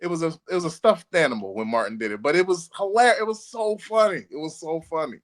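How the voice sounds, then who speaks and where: A man's voice: a little high, expressive, fast talker. An American man co-hosting a casual podcast among friends, speaking emphatically and often repeating himself for effect. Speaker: podcast co-host.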